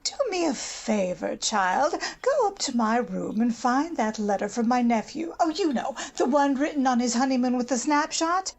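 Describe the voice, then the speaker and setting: An older American snob in a radio play, her voice high and swooping. She is bossy and haughty, gushing one line and scolding the next, with a strongly creaky edge. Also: big pitch swings, moderate pace, hardly any pauses, heavily acted. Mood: haughty, gossipy. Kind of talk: old-time radio drama acting.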